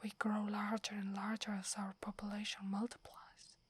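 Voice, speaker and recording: A young woman with an Italian accent playing a devoted, humble werewolf warrior girl in an ASMR roleplay, speaking in a soft breathy whisper. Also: medium pitch, monotone, no room sound. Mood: reverent, wondering. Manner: slow, hushed, deferential.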